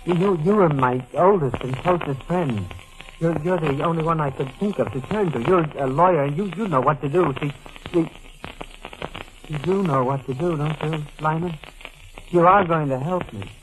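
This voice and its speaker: An American actor playing a distraught, guilt-ridden doctor on the run in a 1940s radio mystery, stammering and repeating himself. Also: male voice, fairly high pitch, natural voice, rough recording. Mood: anguished, pleading. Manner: stammering, halting, repeating words. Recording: old 1940s radio broadcast.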